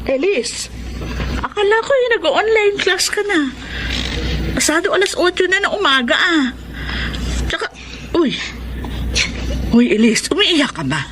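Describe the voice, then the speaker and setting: A woman's voice: very high-pitched, very animated, a little slow, pauses often, heavily acted. A woman playing a protective, hardworking sister and aunt in a Tagalog radio drama. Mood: concerned, reassuring. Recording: noisy drama track with background ambience.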